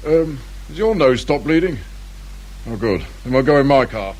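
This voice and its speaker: An actor playing a dry, businesslike, world-weary English police inspector in a British radio drama. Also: male voice, mid-range voice, animated, normal speed, darkish tone, lightly acted. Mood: wry, businesslike. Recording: faint noise behind radio drama recording.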